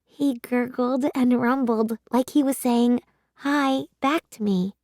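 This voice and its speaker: A woman reads a children's story aloud as narrator. Her voice is fairly high and she goes slowly and expressively, in a close, dry recording. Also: no echo. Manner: deliberate, expressive.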